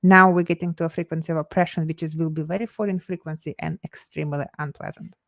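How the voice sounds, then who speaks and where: A middle-aged woman from Ukraine with a Ukrainian accent, a mindset coach speaking earnest, halting English in a casual interview. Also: low-pitched, steady tone, creaky, dry recording, studio quality.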